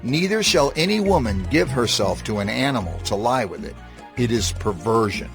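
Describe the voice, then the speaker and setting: A man's voice: medium pitch, animated, normal speed, gravelly. A man acting as a stern divine lawgiver in a dramatized scripture reading, formal and emphatic. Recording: prominent music behind the voice.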